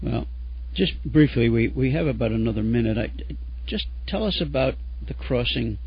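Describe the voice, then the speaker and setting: A male radio host doing an interview, hoarse from fighting a cold, chatty and rambling with lots of fillers. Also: mid-range voice, lively intonation, normal speed. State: losing his voice from a cold. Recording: dull lo-fi radio audio.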